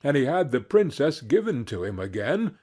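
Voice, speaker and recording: Male storyteller, scripted fairy tale narration, unhurried, raspy, animated intonation. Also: mid-range voice.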